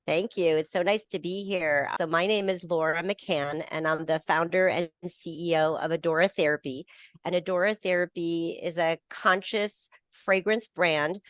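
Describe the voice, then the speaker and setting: American woman guest, slightly low voice, chatty and easygoing, dry sound over a video call. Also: fairly even intonation, normal speed, fairly bright tone, no room sound. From United States. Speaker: brand founder, guest. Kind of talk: casual video interview. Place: her retail store.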